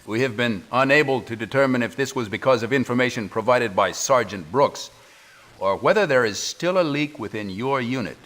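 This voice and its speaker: A stern military commander acted by a man, middle-aged, reports in a video game briefing. His mid-range male voice is measured and official, with formal military reserve. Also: medium pitch, a bit bright, close to an everyday voice. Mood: official, serious.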